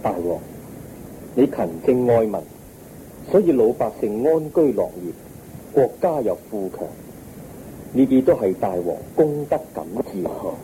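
An actor playing a calm, compassionate holy teacher, speaking in measured, solemn Hong Kong Cantonese in a radio drama. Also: male voice, mid-range voice, slight background noise, low-quality recording. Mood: calm, explaining.

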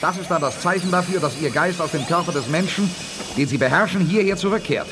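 An actor playing a calm, commanding leader of a space expedition in an audio drama. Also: male voice, on the high side, quick pace, music in the background, close to an everyday voice. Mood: calm, resolute. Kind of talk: 1980s German audio drama acting. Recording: clear background ambience noise. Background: ambient noise.

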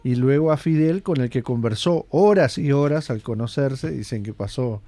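A man's voice: mid-range voice, mellow, no echo. A man hosting a radio show, giving a conversational commentary with frequent fillers and restarts. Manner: conversational, halting, many fillers. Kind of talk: casual spoken commentary.

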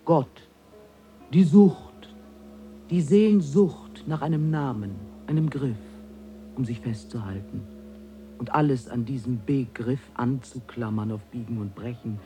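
An actor playing a condescending, mocking cosmic spirit in an audio drama, theatrical and emphatic. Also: male voice, mid-range voice, moderate pace, warm tone, close to an everyday voice. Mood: scornful. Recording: music under the voice.

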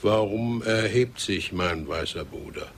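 Slow, solemn, cunning chief. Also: male voice, deep voice, slight background noise. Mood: plaintive, bargaining. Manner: solemn, measured, formal third-person speech. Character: grave, cunning Comanche chief, ceremonious and deliberate. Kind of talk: German radio drama acting.

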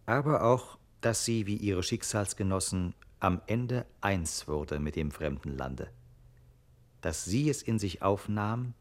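The storyteller of a radio play in a very low voice. The scripted narration is measured and reflective. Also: male voice, expressive.

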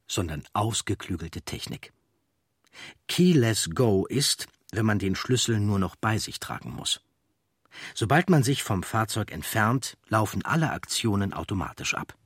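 Husky, low-voiced male documentary narrator. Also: very animated, measured pace, no echo, clean studio sound. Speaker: audiobook narrator.

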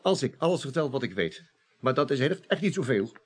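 A man's voice: medium pitch, sing-song, brisk, slightly rough. An actor playing a cornered, evasive security man in a radio crime drama, stammering and defensive. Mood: bargaining.

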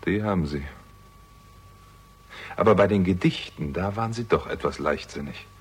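A shrewd young man investigating a crime in a 1960s radio play. His voice is low and husky, with lively swings in intonation. He presses his points in a probing, wry way. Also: normal speed. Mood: probing, confident. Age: young adult. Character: shrewd, persistent young amateur sleuth confronting a suspect. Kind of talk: 1960s German radio crime drama acting.

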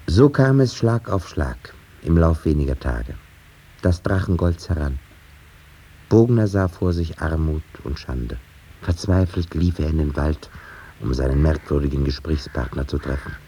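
Sceptical storyteller, 50s radio drama, dark rumbly low voice, thoughtful and measured but moves around a lot. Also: male voice, lively intonation, husky, exaggerated voice. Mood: grave. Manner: musing, rhetorical, measured. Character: reflective, sceptical male storyteller. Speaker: narrator. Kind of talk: 1950s German radio drama narration.

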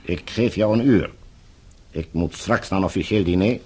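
Low, husky, courteous villain. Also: male voice, dark tone. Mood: commanding, threatening. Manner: formal, smooth-tongued, measured menace. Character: coldly polite blackmailing villain, a controlling official. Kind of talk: radio drama acting.

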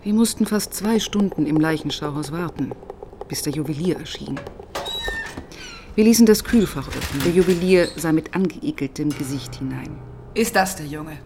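An actress playing a sharp-tongued, impatient police inspector who narrates a radio crime drama in a brusque, sardonic manner. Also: female voice, low voice, animated, normal speed, background noise. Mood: narrating, sober. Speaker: narrator and lead character.

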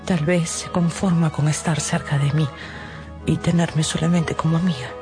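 A woman's voice: low-pitched, steady tone, moderate pace, close to an everyday voice. An actress playing a troubled, pious married lady with a hesitant, fervent way of confiding, in a radio drama. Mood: pensive, desolate. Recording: music behind the voice.

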